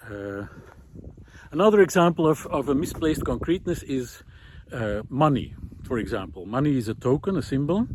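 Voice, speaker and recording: A man's voice: medium pitch, a little mellow, low-quality recording. Thoughtful man talking outdoors over noise. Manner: halting, thoughtful, explanatory, with frequent pauses. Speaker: host of a walking talk series. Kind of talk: unscripted reflective philosophical talk while walking. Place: outdoors, walking on a country road. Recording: outdoor recording with clear background noise.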